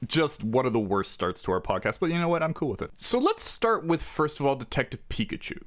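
Male podcast co-host, forties, expressive pitch, playful and self-deprecating banter. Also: fairly quick. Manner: animated, self-mocking banter. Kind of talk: casual chat.

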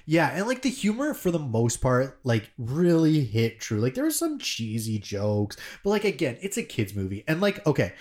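A man co-hosting a casual podcast about movies. His pitch jumps around a lot as he rambles, and he talks with excitement. He restarts often and leans on fillers. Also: moderate pace, hardly any pauses. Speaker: podcast co-host.

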